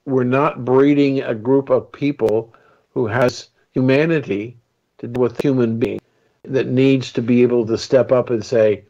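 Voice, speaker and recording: A man's voice: mid-range voice, steady tone, a little slow, warm tone, muffled recording. Earnest, impassioned male panelist. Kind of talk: opinionated radio talk.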